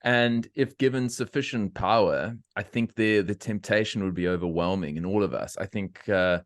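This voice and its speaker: A middle-aged man from South Africa hosting a podcast, talking in opinionated, animated rants with laughter. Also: on the low side, normal speed, dry recording, clean studio sound. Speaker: podcast host. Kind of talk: casual interview chat.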